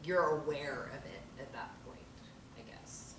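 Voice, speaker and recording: A woman's voice: sits low, unhurried. A woman who acted in the reading thoughtfully shares her notes in a casual discussion, searching for words with many fillers. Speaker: actor who read stage directions. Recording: echoey room, distant mic, noisy.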